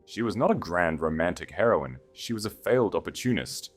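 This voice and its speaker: Deep-voiced male story narrator. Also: lively intonation, fairly quick. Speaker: audiobook narrator. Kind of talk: scripted dramatic story narration. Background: faint music.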